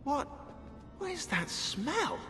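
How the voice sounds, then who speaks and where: An actor with a British accent playing a sarcastic, grumbling scholarly historian in a video game cutscene, wordy and theatrically exasperated. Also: male voice, extremely high pitch, sing-song, slow pace, sharp tone, full character voice. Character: sarcastic, grumbling scholarly male historian in a modern assassin team. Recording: long hall reverb, music behind.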